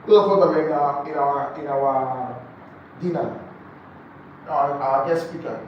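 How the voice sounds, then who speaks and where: A man's voice: medium pitch, unhurried, muffled recording. A man with a Nigerian accent teaching a training session, emphatic, repeating key phrases and putting rhetorical questions to his listeners. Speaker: real estate sales trainer. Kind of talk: live training lecture to a group. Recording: echoey room, distant mic, faint noise.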